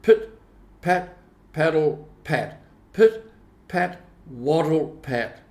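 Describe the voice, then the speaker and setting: A man's voice: on the high side, expressive, very slow, a little mellow. A man reading a children's story aloud as scripted narration, in a dramatic storytelling manner. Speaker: storybook reader. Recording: echoey room.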